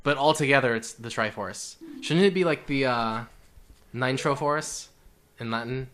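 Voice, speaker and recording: A man's voice: medium pitch, measured pace, a bit bright, slight background noise. A man co-commentating on gameplay in casual, wry, teasing chat, with game music behind him. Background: video game music.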